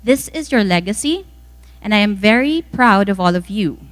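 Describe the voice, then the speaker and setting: Woman giving an expressive, lively scripted speech. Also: mid-range voice, normal speed. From the Philippines. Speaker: event speaker reading welcome remarks on another's behalf. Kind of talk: scripted speech, inspirational welcome address.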